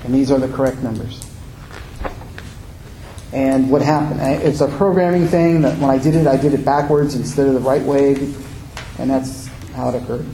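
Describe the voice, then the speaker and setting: A man runs a public meeting, giving plain procedural instructions. His voice is warm and mellow. The room echoes around him, with faint noise underneath. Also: medium pitch, steady tone, normal speed, pauses often. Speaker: meeting official.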